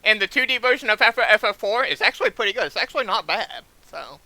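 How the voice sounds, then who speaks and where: A man chatting casually as he streams a game, speaking haltingly and often repeating words as he restarts sentences. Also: high voice, expressive, pushed and bright, no room sound, low-quality recording. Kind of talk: casual livestream chat over gameplay.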